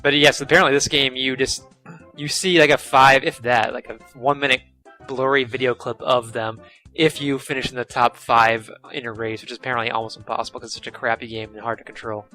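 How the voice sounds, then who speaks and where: Quick, bright-voiced man co-hosting. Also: medium pitch, level tone, faint music behind. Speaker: podcast co-host, writer. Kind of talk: casual gaming podcast chat.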